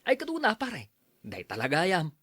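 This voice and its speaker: A man's voice: sits high, huge pitch swings, moderate pace, fairly bright tone, slightly rough, no room sound, full character voice. A man playing an easygoing, chatty bachelor drinking buddy in an audio drama. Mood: friendly, relaxed. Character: unmarried drinking buddy back from working abroad. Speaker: voice actor in a drama. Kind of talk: Ilocano audio drama acting.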